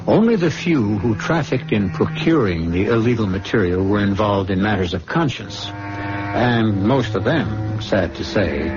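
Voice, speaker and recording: A man with a low voice narrates a radio drama as its host, grave and theatrical in his scripted phrasing. The recording is muffled, with music behind him.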